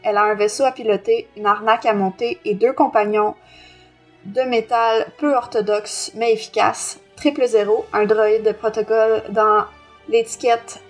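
A woman's voice: fairly high pitch, steady tone, normal speed, faint music behind. A woman co-hosting a podcast, reading a blurb aloud carefully with small stumbles. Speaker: podcast co-host.

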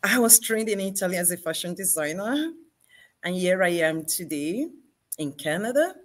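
A woman's voice: on the low side, lively intonation, normal speed. A middle-aged woman with a Nigerian accent, speaking as an interview guest on a video call. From Nigeria, Benin City. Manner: laughs easily while talking. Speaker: guest, fashion designer. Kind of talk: interview storytelling. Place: indoor fashion showroom with mannequins.

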